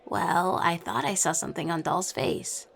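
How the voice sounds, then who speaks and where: A woman's voice: lowish voice, steady tone, normal speed, creaky. A woman voicing a playful, cheeky female werewolf shifter in a roleplay audio, teasing as she talks. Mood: playful, curious.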